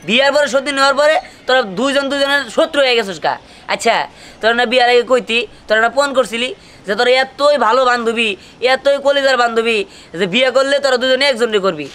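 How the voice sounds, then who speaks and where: A man's voice: very high voice, clean tone, soft background music. A man with a colloquial Bangladeshi accent voicing an exasperated young cartoon husband, scolding in comic dialogue. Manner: scolding, rapid, forceful. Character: exasperated young husband of two quarrelling wives.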